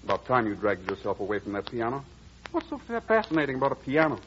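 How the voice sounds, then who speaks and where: A man's voice: medium pitch, big pitch swings, fast talker, fairly bright tone, a little hiss or hum. An actor playing a breezy, impatient young man in old-time radio drama, boyish and slangy. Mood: breezy, eager. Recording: muffled old radio recording, music behind.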